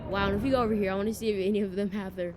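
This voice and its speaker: A woman's voice: medium pitch, fairly even intonation, rapid-fire, noisy background. A woman giving casual, informal gameplay commentary, talking directly to viewers as she walks through features. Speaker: video game streamer and presenter.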